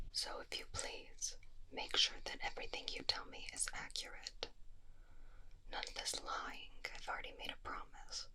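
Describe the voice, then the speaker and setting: A woman playing a secretive, stern doctor of a vampire underworld in a whispered ASMR roleplay, hushed, clinical and curt. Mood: wary, stern.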